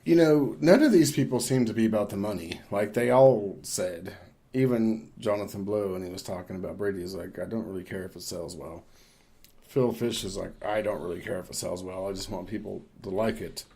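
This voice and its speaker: A man's voice: lowish voice, fairly quick, warm tone. A man talking casually as a podcast host, in a rambling, informal and opinionated way. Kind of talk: casual discussion of games.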